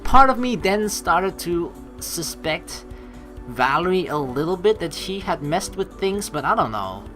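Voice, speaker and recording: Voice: medium pitch, big pitch swings, a little slow, a bit bright. A let's play host from the Netherlands, reading a visual novel aloud and adding casual commentary. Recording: game music behind the voice. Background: game music.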